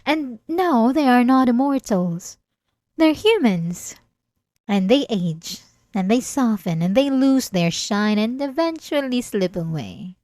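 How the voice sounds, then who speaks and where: A woman's voice: a little high, big pitch swings, slow pace, a little mellow, dry recording, studio quality. A woman playing an obsessive, possessive centuries-old vampire in an ASMR roleplay, speaking in a theatrical, silky, menacingly tender way. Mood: sinister, wistful.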